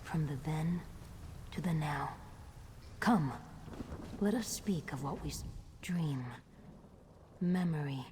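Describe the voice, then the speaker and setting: A woman playing a gentle, ancient nature spirit in a video game, musing dreamily in short, halting poetic phrases with a breathy voice. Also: on the low side, slight background noise. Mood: dreamy, reflective. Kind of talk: video game character acting. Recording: long cavernous reverb.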